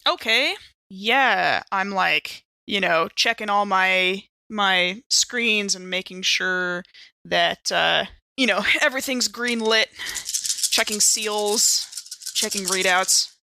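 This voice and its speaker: A woman with a bright, forward voice and a mid-range pitch, recorded dry and close. She talks casually and with enthusiasm as a tabletop game player. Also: slow, creaky, no echo.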